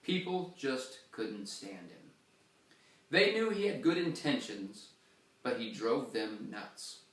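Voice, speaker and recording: A man's voice: mid-range voice, far from the mic. Man reading a story in an echoey church. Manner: expressive, dramatic pauses and shifts in loudness. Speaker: reader.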